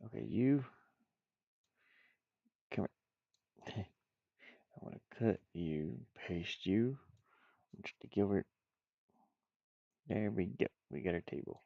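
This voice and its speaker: A man's voice: lowish voice, animated, takes it slowly, vocal fry, pauses often, dry recording, highs cut off. A man thinking aloud in short, offhand phrases as he casually demonstrates game art on his computer. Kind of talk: casual screen-recorded work-along, thinking aloud.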